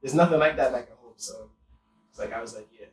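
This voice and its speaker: Casual male guest from Trinidad, quick talker, off-mic in an echoey room with faint guitar behind. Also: medium pitch, distant mic. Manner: fast, relaxed, lots of "like". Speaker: guest rapper. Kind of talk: casual podcast chat.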